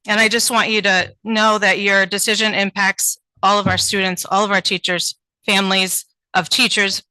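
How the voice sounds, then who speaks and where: A woman's voice: medium pitch, pushed and bright, dry recording, poor audio. A woman teacher reading a prepared public comment at a town board meeting, earnest and pleading, with frequent ums.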